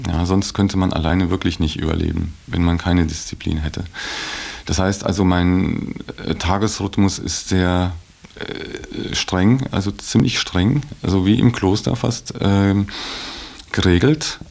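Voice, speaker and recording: A man giving an unscripted personal account as an interviewee, choosing his phrases in a deliberate, hesitant way. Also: low-pitched, fairly even intonation, vocal fry, darkish tone. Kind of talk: unscripted account of daily routine.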